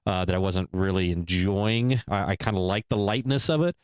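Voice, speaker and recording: A man's voice: low voice, moderate pace, smooth, no echo, clean studio sound. A man co-hosting a podcast, weighing both sides aloud with many restarts and hesitations. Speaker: podcast co-host. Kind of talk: casual discussion.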